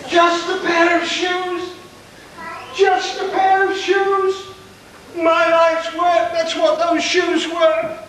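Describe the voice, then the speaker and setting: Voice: very high-pitched, completely flat delivery, fairly bright tone, slight background noise, exaggerated voice. A performer playing a distraught, emotional male shoemaker in an amateur stage pantomime, with melodramatic delivery. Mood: aggrieved. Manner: slow, melodramatic. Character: distraught shoemaker Mister Skinner, mild, emotional man. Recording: very echoey hall, distant mic.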